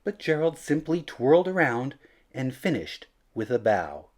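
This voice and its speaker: Female storybook narrator: notably deep voice, slow pace, expressive delivery of children's verse. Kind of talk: scripted children's read-aloud in rhyme.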